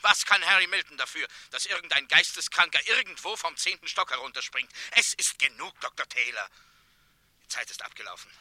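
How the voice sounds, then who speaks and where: A cold, officious governor in a 1960s radio play. The voice is very bright and tense, set a little high and closely recorded. He declaims with stern, precise indignation. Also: animated, brisk, no room sound. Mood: indignant. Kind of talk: 1960s German radio drama acting.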